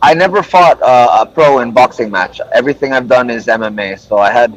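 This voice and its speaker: A young man raised in Japan, an MMA fighter, chatting in a relaxed, friendly way with lots of fillers, sore from hard training. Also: medium pitch, a little fast. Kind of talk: casual interview over a call. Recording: dull lo-fi audio with faint noise.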